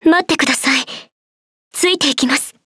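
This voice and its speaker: A gentle young cleric with a high, soft voice. She speaks in an earnest, prayerful way. The recording is close and dry. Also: racing, dry recording. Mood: devout. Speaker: game character. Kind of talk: game voice clips.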